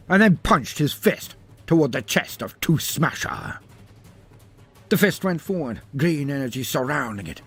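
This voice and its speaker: A man's voice: medium pitch, slightly rough. A man narrating a scripted fantasy tale in a theatrical, florid and wry manner. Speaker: storyteller narrator.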